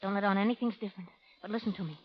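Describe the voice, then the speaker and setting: A woman's voice: medium pitch, fairly even intonation, rapid-fire, slightly rough, close to an everyday voice. An American actress playing a brash, tough, fearless frontier cowgirl with a folksy drawl, in 1930s radio drama style. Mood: urgent, hushed. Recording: muffled old radio recording.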